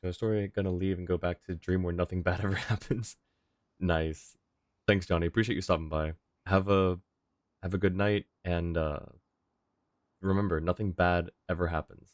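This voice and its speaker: A man's voice: deep voice, fairly even intonation, moderate pace, no room sound. A man chatting casually on a game livestream, easygoing and polite, thinking aloud as he replies to viewers. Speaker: game streamer.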